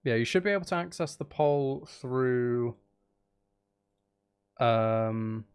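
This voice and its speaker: A man's voice: mid-range voice, slow, clean tone. A man chatting casually as he hosts a live game stream, playfully mock-dramatic and self-deprecating with his viewers. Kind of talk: casual chatty gaming livestream talk with viewers.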